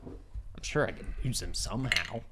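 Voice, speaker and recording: A man's voice: lowish voice, lively intonation, normal speed, background noise. A man playing a tabletop game, joking and bantering casually with the group.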